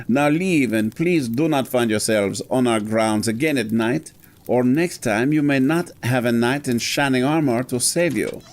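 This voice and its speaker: A man playing a brisk, haughty eighteenth-century French gentleman in an audio drama, formal, wry and insistent. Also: mid-range voice, close to an everyday voice. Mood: breezy, commanding.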